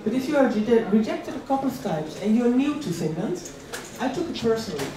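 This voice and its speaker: A woman's voice: fairly high pitch, very animated, brisk. A middle-aged woman from the Netherlands giving a personal, conversational motivational talk to an event, repeating key words for emphasis. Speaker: invited speaker at a career event. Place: large room at an employment event. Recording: echoey hall, distant mic, audience noise.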